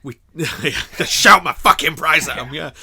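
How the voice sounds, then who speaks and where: Bright-voiced man telling stories. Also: fairly high pitch, a little slow, vocal fry, breathy edge. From England. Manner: opinionated storyteller, swears, laughs easily. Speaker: podcast co-host. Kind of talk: casual chat.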